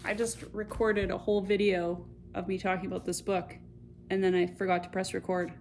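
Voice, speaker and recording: A woman hosting a children's story time, reading and chatting in an expressive, conversational way straight to young viewers. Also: medium pitch, brisk, slight background noise. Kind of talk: picture-book read-aloud with chatty asides.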